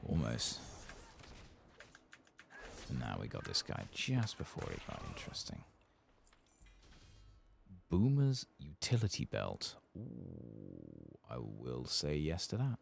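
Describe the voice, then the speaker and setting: A soft-spoken man giving casual, musing ASMR commentary as he plays a game. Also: rumbling low, wildly swooping pitch, slow, gravelly, long pauses, slight background noise. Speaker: gaming ASMR host.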